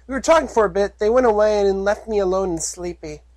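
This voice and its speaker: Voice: mid-range voice, quick pace, a little mellow, no echo, voice pushed up, close to an everyday voice. A storyteller voicing a cheerful, naive young filly pony who talks in a bubbly, childlike squeal. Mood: giddy.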